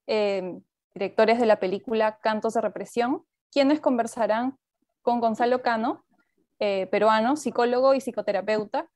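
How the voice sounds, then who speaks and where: A woman's voice: fairly high pitch, a little mellow, no room sound, clean studio sound. A woman coordinator reading a prepared welcome on a video call from a bedroom, through a wired earphone headset. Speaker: festival event coordinator. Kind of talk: formal introduction.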